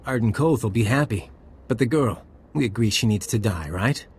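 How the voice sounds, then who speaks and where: A man's voice: fairly low pitch, animated, moderate pace, breathy edge, exaggerated voice. A man acting a sardonic, ruthless intelligence operative in a video game, with a dry, smug, casually menacing delivery. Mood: coolly menacing.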